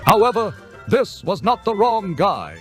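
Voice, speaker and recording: A man's voice: sits high, wildly swooping pitch, a little slow, fairly bright tone, soft background music, full character voice. A man playing a pompous cartoon history presenter who declaims dramatically. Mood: emphatic.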